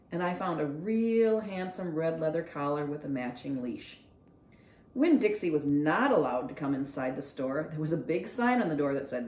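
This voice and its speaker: A woman reads a storybook aloud as a library read-along. Her voice sits a little low and moves with highly expressive intonation. The recording has a noticeable room echo. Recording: roomy echo.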